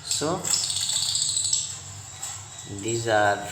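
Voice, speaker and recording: A man giving an unscripted step-by-step repair tutorial in short, halting, repetitive instructions, recorded in an echoey room. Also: on the low side, lively intonation, over music. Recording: echoey room, loud noise and clicks.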